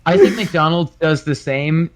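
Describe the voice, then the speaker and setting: Man, highish pitch, lively swings, casual joking banter, co-reader. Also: unhurried. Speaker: co-reader of the story.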